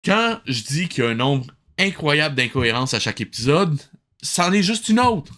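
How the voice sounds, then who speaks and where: Man, Québécois accent, review host, sarcastic, exasperated rant, bright forceful voice, slightly high. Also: big pitch swings, normal speed. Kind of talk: comedic reaction commentary.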